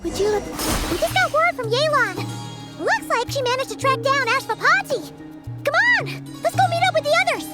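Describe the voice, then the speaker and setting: Squeaky, very high-pitched fairy companion. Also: female voice, big pitch swings, normal speed, full character voice. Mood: eager. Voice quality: squeaky, childlike. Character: tiny high-voiced floating fairy companion, cheerful and caring. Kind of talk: video game voice acting. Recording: loud music behind the voice.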